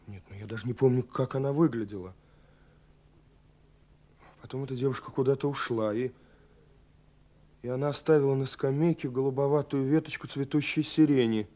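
An actor playing an earnest young romantic in a 1970s Soviet radio play, confiding haltingly with dramatic pauses. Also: male voice, mid-range voice, steady tone, dark tone, lightly acted. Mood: agitated, dreamy. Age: young adult. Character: earnest young romantic man searching for a mysterious girl. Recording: 1970s radio recording with faint music. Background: faint music.

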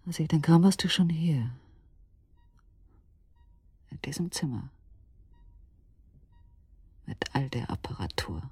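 An anguished, very breathy wife. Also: female voice, sits low, animated, darkish tone, no echo, exaggerated voice. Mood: weary, brooding. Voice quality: breathy, often dropping to a whisper. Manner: halting, brooding, addressing someone who cannot hear. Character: anguished wife keeping night vigil at husband's hospital bed. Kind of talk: radio drama monologue, intimate.

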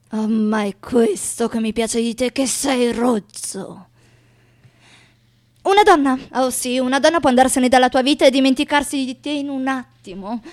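A woman acting a boozy, brash character in a theatrical radio play, speaking expressively and haltingly. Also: high-pitched. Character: boozy, brash, teasing woman lover; later reminiscing starving writer. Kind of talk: theatrical radio drama monologue.

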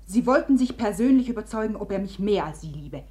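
An actress in a German radio drama playing a proud, unhappy woman who taunts her rival, theatrical and swinging between hushed and imperious. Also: medium pitch, lively intonation, fast, natural voice. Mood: scornful, bitter.

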